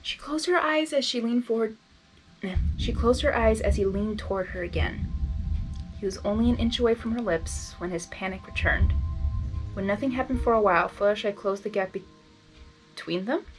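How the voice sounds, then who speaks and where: Higher-voiced woman reading aloud over music. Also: fairly bright tone, breathy edge, poor audio. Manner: stumbles over words, self-interrupting, reactive. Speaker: host reading fan fiction aloud and reacting. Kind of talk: blind reading with casual commentary. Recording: background music under the voice.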